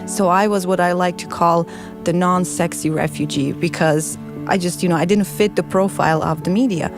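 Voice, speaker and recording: Woman, from former Yugoslavia, middle-aged, earnest reflective interview, lowish voice, faint music behind. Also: steady tone, moderate pace. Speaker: former refugee, nonprofit worker. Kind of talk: personal interview account.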